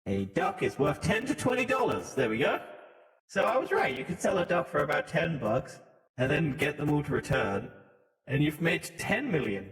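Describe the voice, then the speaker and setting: A man's voice: a little high, sing-song, normal speed. Male podcast co-host, irreverent, sweary banter, processed voice with long hall reverb. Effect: voice modulator. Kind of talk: casual joking banter.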